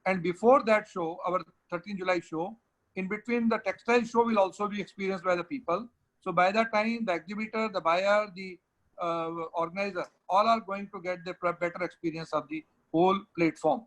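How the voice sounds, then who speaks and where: Indian male webinar host, brisk delivery, high register, directing a colleague through a demo. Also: fairly even intonation, a bit bright, low-quality recording. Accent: Indian English. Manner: directs a colleague, switches between English and Hindi. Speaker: webinar host from the organizing body. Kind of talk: explaining a virtual trade fair tour.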